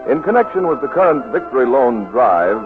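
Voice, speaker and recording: A man works as a 1940s radio announcer, reading formally from a script. His medium voice swings with animated intonation. Music plays behind him on a tinny old broadcast. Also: medium pitch, a little fast.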